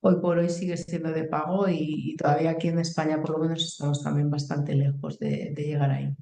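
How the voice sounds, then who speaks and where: A woman's voice: deep voice, flat delivery, brisk, vocal fry, dark tone, slight background noise. Woman, doctor, hesitant, echoey room. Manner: hesitant, many fillers and restarts. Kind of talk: explaining on a talk show. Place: clinic. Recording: roomy echo.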